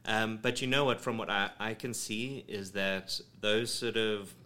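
A man's voice: fairly low pitch, steady tone, unhurried, bright, forceful tone. A man from South Africa talking casually in an interview, rambling with many ums and restarts. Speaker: creative studio head, guest. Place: home studio. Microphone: studio microphone.

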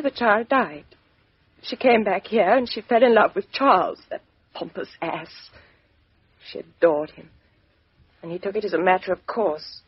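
A woman's voice: mid-range voice, breathy edge, no echo, lightly acted. An actress playing a proud, defiant young woman in a 1950s radio drama, speaking in a clipped, emphatic way. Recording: dry, dull lo-fi 1950s radio recording.

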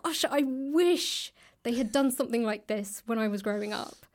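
A woman's voice: a little high, normal speed. Thoughtful woman explaining in conversation. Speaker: interview guest, author and actor. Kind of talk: conversational interview answers.

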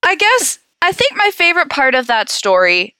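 A woman's voice: high voice, wildly swooping pitch, normal speed, bright, forceful tone, dry recording, studio quality. A giggly, blunt woman co-hosting a podcast with casual comedic banter. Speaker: podcast co-host.

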